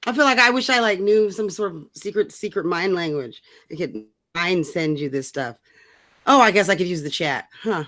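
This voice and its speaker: A woman's voice: lowish voice, lively intonation, normal speed, fairly bright tone. A woman co-hosting a live stream in casual, unscripted chat, blunt and slangy in how she talks.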